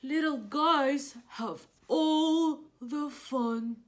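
Woman voicing a huge, slow, lonely whale. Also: sits high, pitched above the performer's own voice. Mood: sad, lonely. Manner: slow, loud, drawn-out words. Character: huge sad lonely whale, booming big guy.

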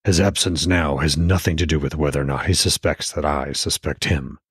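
A man voicing a weary, stubborn old Norse god in a terse, measured way for an audiobook. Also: rumbling low, fairly even intonation, breathy edge, dry recording, studio quality, pitched below the performer's own voice, exaggerated voice. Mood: firm, weary.

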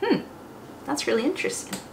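Young lady doing kids storytime, super swoopy expressive voice, talks to little ones, bit of background noise. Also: sits high, fairly quick, creaky. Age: young adult. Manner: expressive, exclamatory, child-directed delivery. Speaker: children's storytime teacher and host. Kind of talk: read-aloud storytime for young children. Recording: roomy echo, faint background noise.

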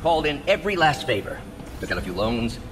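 Animated, musing older scientist played by a man. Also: mid-range voice, fast talker, close to an everyday voice. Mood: absorbed, determined. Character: visionary older male scientist, absorbed and ambitious. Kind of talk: video game dialogue. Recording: clear background noise.

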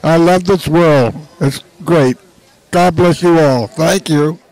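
A man's voice: fairly high pitch, takes it slowly, darkish tone, no echo, low-quality recording. A man in his nineties and a veteran, speaking in an enthusiastic, grateful way.

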